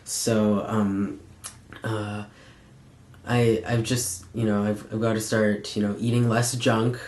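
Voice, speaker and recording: A young man with a fairly low voice talks casually to his viewers in a personal vlog style. His delivery is candid and conversational, with halting pauses as he gathers his thoughts. The sound is clearly echoey, as in a bare room. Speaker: vlogger.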